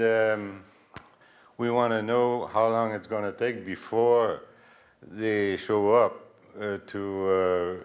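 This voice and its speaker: A deep-voiced man asking questions from the floor at a public meeting, plain-spoken and hesitant. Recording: roomy and distant with clear room noise.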